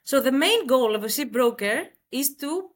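A woman's voice: sits high, expressive, normal speed. A woman in her late twenties from Greece with a Greek accent, answering conversationally as an interview guest. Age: late 20s.

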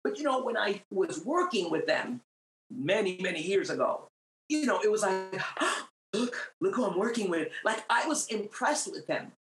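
A woman's voice: medium pitch, moderate pace. A middle-aged woman from New York with Puerto Rican roots, a singer giving her faith testimony as a guest, earnest and growing emphatic. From Puerto Rican, raised in New York. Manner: earnest, grows emphatic and animated. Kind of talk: faith testimony in a podcast interview. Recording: roomy, echoey sound.

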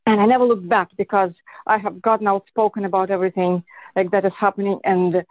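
A woman's voice: mid-range voice, little pitch movement, fast talker, no echo. A woman from Russia, a podcast guest, speaking effusively and earnestly over a remote call. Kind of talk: podcast interview. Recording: tinny phone-like line, dry.

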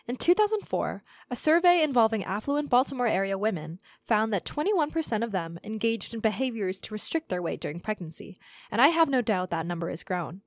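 A woman's voice: medium pitch, sing-song, brisk, no room sound, clean studio sound. A young woman reading a scripted essay aloud as narration for a student audio zine. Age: young adult.

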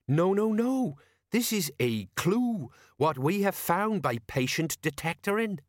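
Storybook police sergeant, read by a man: self-important, slow-witted old hand, colloquial lecturer, raised pitch, dry close recording. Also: big pitch swings, no room sound.

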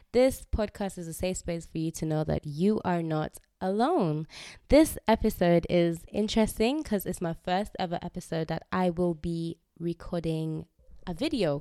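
A woman's voice: lowish voice, warm tone, dry recording, studio quality. A young adult woman hosting her own podcast in a casual faith talk, chatty and candid with fillers and frequent laughs; English is not her first language. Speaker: podcast host. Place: indoors, recording herself on video.

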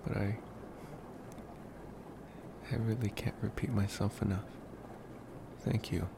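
Man playing a slow, gravelly young vampire boyfriend. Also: lowish voice. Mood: tender, soothing. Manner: hushed, halting, soothing, affectionate. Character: devoted, tender young male college vampire in love. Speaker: ASMR roleplay performer. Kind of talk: intimate ASMR boyfriend roleplay. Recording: clear steady background ambient noise.

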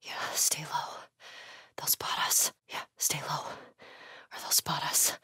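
A woman's voice: slow, pushed and bright, no room sound, in a whisper, clean studio sound. An actress playing a tough, foul-mouthed, wisecracking young woman survivor in a video game, blunt and naturalistic. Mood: tense, hushed. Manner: blunt, sweary, wry.

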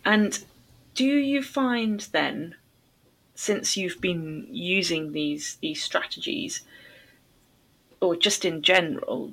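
A woman's voice: on the low side, a bit bright, low-quality recording. A woman hosting a podcast for English learners, asking questions and rephrasing answers in simple, encouraging language. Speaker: podcast host, English teacher. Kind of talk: interviewing, explaining and rephrasing.

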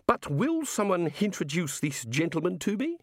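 A man's voice: very high-pitched, sing-song, slow, dry recording, studio quality, pitched above the performer's own voice, full character voice. A man voicing a prim, socially proud woman in an audiobook, with affected, careful gentility. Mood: gracious, prim. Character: prim, genteel female housekeeper, socially proud.

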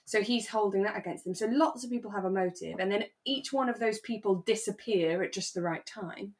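A British woman co-hosting a podcast, telling stories in a chatty, explanatory way as she recaps a drama in relaxed conversation. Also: mid-range voice, a little fast. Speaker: podcast co-host.

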